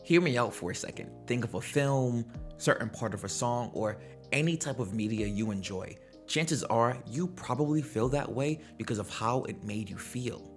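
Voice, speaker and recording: A man's voice: mid-range voice, normal speed, soft background music. A man hosting a gaming commentary video, talking in a conversational, slangy way and throwing out rhetorical questions. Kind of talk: casual opinionated video commentary.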